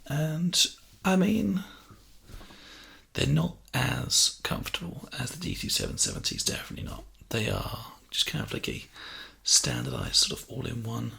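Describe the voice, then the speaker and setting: A man doing a casual, reassuring ASMR sales role play, speaking softly and chattily into a close binaural microphone. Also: mid-range voice, wildly swooping pitch, moderate pace. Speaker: ASMR performer playing a personal shopper. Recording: close binaural mic, clear background hiss.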